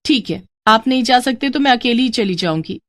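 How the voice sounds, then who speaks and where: A woman voicing a determined, caring young married woman in a dramatized moral story, with expressive, earnest delivery. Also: on the high side, brisk, pitched above the performer's own voice. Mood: insistent. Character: determined, caring young married sister-in-law, sly and warm.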